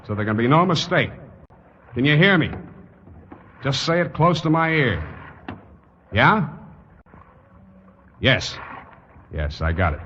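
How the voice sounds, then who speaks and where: An actor playing a sardonic, hard-boiled private detective with clipped tough talk in a 1940s radio drama. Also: male voice, mid-range voice, close to an everyday voice. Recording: dull, noisy old radio recording.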